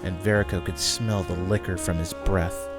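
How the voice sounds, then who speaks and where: Casting: male narrator for a fantasy tale, somewhat deep, scripted read over background music. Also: fairly even intonation, moderate pace.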